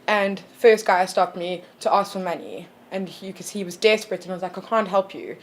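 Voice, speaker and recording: A woman's voice: medium pitch, moderate pace. A woman in her thirties from South Africa, talking frankly in a casual panel discussion with a lot of fillers. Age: 30s. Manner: frank, with a lot of ums and likes. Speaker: panel guest.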